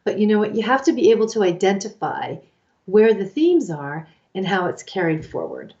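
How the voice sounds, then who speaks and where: A woman in her fifties co-hosting a casual advice chat, explaining ideas in an animated way and acting out the inner voices she quotes. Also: medium pitch, moderate pace, highs cut off. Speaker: co-host, life coach.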